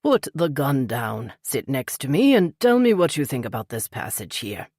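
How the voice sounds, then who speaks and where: A woman voicing a polite, unflappable older British gentleman with a clipped British accent. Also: rumbling low, sing-song, moderate pace, husky, no echo, clean studio sound, heavily acted. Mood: calm, polite.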